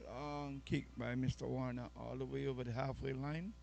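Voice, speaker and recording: A man commentating a youth soccer match, chatty and quick to laugh with his co-commentator. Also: mid-range voice, flat delivery, gravelly, darkish tone, slight background noise. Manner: chatty, laughs easily, trades banter with co-commentator. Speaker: sports commentator.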